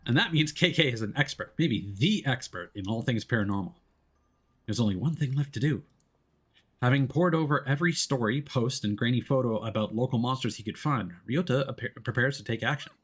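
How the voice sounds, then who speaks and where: Read as a male streamer narrating a story game aloud, expressive and theatrical, slipping into casual asides between passages. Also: mid-range voice, fairly quick, slightly rough. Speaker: streamer playing a visual novel.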